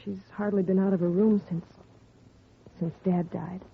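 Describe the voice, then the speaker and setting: An actress playing a grieving young woman whose father has been murdered, speaking softly and earnestly in a 1950s radio drama. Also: mid-range voice, fairly even intonation, normal speed, vocal fry, lightly acted. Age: young adult. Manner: soft and earnest, recounting quietly. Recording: tinny lo-fi 1950 radio broadcast with faint hiss.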